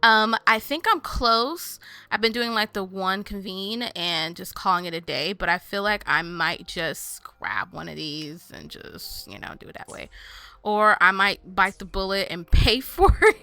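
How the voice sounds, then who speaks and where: A woman's voice: mid-range voice, animated, unhurried, pushed and bright, no echo. A woman gaming host giving casual, chatty, informal commentary to her viewers while she plays.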